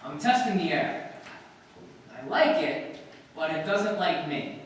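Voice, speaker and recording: A man playing a wry, hungover house guest in a 1940s-style radio play, sheepish, halting and dryly joking. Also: on the high side, lively intonation. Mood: forced cheer. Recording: distant, echoey hall, faint noise.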